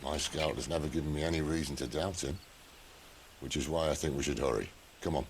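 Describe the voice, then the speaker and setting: A gravelly, dry-witted outlaw. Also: male voice, rumbling low, slight background noise. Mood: calm. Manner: wry, unhurried, dry humor. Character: worldly, dry-witted older male outlaw leader. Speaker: outlaw leader.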